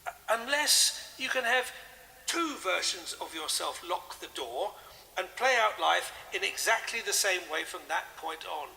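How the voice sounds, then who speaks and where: An actor playing a genial, chatty English country vicar with a genteel, chummy manner. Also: male voice, sits high, animated, unhurried, vocal fry, pushed and bright, a little hiss or hum, exaggerated voice. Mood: musing, sceptical. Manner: genteel, chummy, dismissive of superstition. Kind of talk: audio drama acting.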